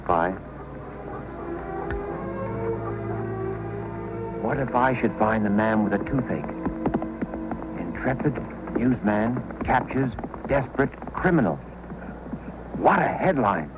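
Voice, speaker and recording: An actor playing an earnest, hapless, self-doubting newspaper photographer in an old-time radio drama. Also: male voice, medium pitch, lively intonation, unhurried, pauses often, music in the background, lightly acted. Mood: eager. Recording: noisy old radio recording.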